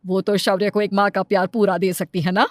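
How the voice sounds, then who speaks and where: A woman's voice: medium pitch, little pitch movement, fairly quick, dry recording, studio quality, natural voice. A woman voicing an insistent, persuasive elderly mother and grandmother in a cartoon story.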